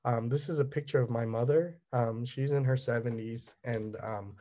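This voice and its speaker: Soft-toned man giving a halting slide talk. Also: mid-range voice. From Southern California. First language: Cantonese. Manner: halting, many ums and restarts. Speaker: resident artist. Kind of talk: presenting slides at an artist talk.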